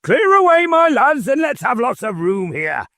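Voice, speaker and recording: A man voicing a jolly, boisterous old male merchant with a rich, jovial voice, shouting cheerfully in an audiobook. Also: extremely high pitch, sing-song, normal speed, no room sound, studio quality, pitched above the performer's own voice, full character voice. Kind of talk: audiobook character voice.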